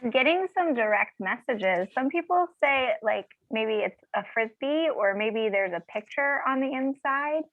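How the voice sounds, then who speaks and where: Dull-sounding, slightly high female call voice. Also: animated, normal speed, hardly any pauses, no room sound. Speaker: session participant relaying questions. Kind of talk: informal live online session. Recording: dull, band-limited call audio.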